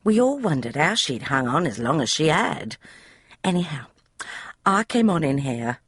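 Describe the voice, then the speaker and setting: A woman's voice: sits low, very animated, moderate pace, breathy edge, no echo, clean studio sound, pitched above the performer's own voice, exaggerated voice. A woman voicing a young, flustered housemaid who gossips in broad, common speech. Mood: gossipy.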